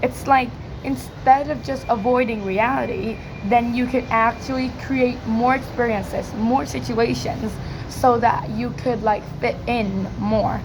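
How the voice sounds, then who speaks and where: Woman, hoarse cracking voice, slow pace, hesitant filler-heavy speech, informal presentation, noticeable background noise. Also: fairly high pitch, fairly even intonation. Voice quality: croaky, cracking. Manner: hesitant, many filler likes. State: hoarse from yelling. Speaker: student presenter practising English speaking. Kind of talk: informal spoken question-and-answer presentation.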